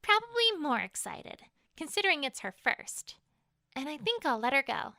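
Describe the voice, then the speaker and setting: A woman voicing an earnest, anxious young female unicorn in an expressive audiobook performance. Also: high voice, moderate pace, creaky, no room sound, studio quality, heavily acted. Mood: warm, relieved. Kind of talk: dramatized audiobook character acting.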